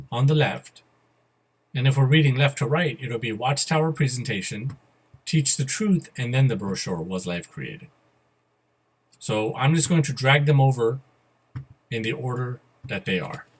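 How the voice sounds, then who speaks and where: A man presents a software screencast in a casual, methodical manner. His voice is a little husky and sits at a medium pitch. He spells out each step as he goes. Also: lively intonation, unhurried, long pauses, rough recording. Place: voice-over to a computer screen recording.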